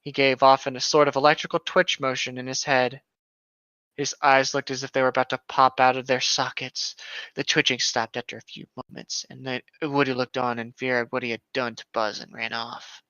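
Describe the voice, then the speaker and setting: Man, bright forceful tone, dry room, slightly dull lo-fi recording, playful read-aloud banter. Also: medium pitch, flat delivery, moderate pace, smooth, dry recording. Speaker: podcast co-host reading and mocking internet horror stories. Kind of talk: reading aloud with casual joking banter. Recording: slightly dull, lo-fi home microphone.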